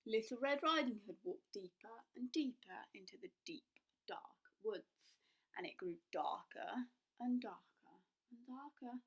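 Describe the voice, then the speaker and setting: A woman's voice: fairly high pitch, expressive, measured pace, slightly rough. A woman reading a children's storybook aloud, narrating expressively with dramatic emphasis.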